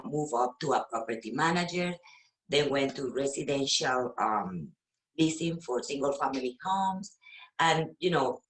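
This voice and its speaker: A Venezuelan woman, a real estate agent whose first language is Spanish, talking casually in an interview with many fillers and pauses. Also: extremely low pitch, reverberant, rough recording. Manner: hesitant, with frequent fillers and laughs.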